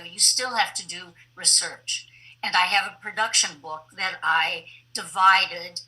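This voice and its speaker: Woman, theatre director, very bright forceful voice, deliberate phrasing, video-call interview. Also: medium pitch, low-quality recording. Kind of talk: explanatory interview talk over video call.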